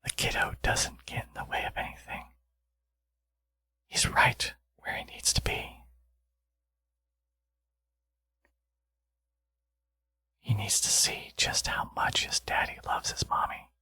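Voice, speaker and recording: A man playing a smug, teasing supervillain and doting new father in an intimate ASMR audio roleplay, murmuring playfully and cockily in a breathy, gravelly voice. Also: moderate pace, pushed and bright, dry recording. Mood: hushed, smug. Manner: playful, cocky, murmuring to a lover.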